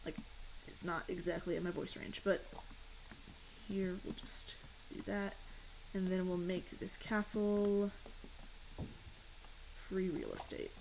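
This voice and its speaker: A young woman with a fairly deep voice, chatting casually and rambling while she streams a game and answers questions from chat. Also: big pitch swings, slow pace, gravelly, darkish tone, slight background noise, low-quality recording.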